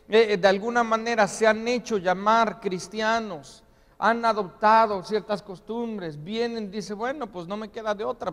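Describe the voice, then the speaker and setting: A man delivers an earnest sermon, and his voice sits fairly high. He keeps asking rhetorical questions as he preaches. His voice rings out with the long reverb of a large church hall. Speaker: pastor. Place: church sanctuary.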